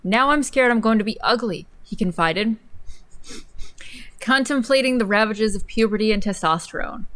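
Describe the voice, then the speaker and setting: A young adult woman co-hosting a livestream, reading an article dramatically with incredulous, slightly halting delivery and casual asides. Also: mid-range voice, fairly bright tone. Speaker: co-host of a livestream.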